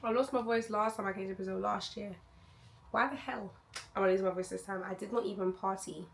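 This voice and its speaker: A young woman vlogger, hoarse from losing her voice, chatting to camera in a rambling, candid way. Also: medium pitch, steady tone, fairly quick, slight background noise. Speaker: travel vlogger. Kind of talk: casual chat to camera.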